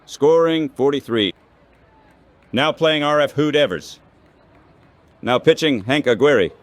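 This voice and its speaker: A man's voice: fairly high pitch, slow, no echo. A synthetic text-to-speech man's voice calling baseball play-by-play in a radio style, in short clipped phrases.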